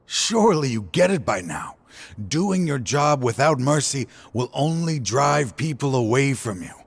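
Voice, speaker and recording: An actor playing a weary, regretful older man turned selfish villain in a video game, with slow, brooding, sighing delivery and a husky voice. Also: medium pitch, big pitch swings, heavily acted. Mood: pleading, resigned. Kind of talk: dramatic video game voice acting.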